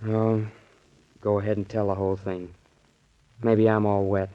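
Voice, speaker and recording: A man's voice: fairly low pitch, level tone, measured pace, lo-fi sound, lightly acted. An actor playing a stubborn, defensive young man, argumentative and sullen, in a 1940s radio mystery. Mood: sulky. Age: young adult.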